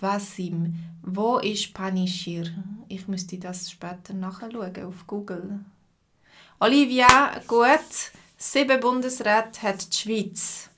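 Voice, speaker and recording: A woman with a Swiss German accent teaching a live stream lesson. She greets viewers by name and explains things slowly and simply, with many fillers. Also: mid-range voice, bright, forceful tone. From Switzerland.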